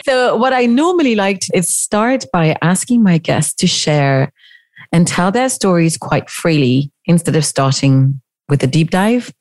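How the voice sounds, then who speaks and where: A woman's voice: fairly low pitch, animated, measured pace, darkish tone. A woman hosting an interview podcast, introducing her guest and talking with her.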